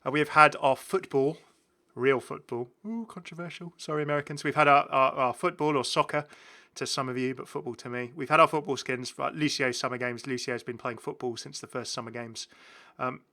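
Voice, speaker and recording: A man's voice: medium pitch, steady tone, brisk, bright, forceful tone. A British man giving casual, enthusiastic game commentary, rambling and often correcting himself as he goes. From United Kingdom. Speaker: gaming video host.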